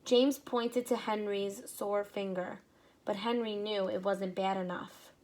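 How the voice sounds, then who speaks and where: A woman teacher reading a picture book aloud to children, with expressive pacing and short explanatory asides. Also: medium pitch, unhurried.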